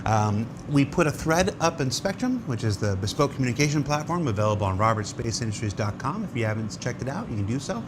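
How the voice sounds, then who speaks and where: A man's voice: medium pitch, big pitch swings, quick pace, hardly any pauses, noisy background. A man hosting a conversational talk show, explaining things and putting questions to guests. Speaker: show host.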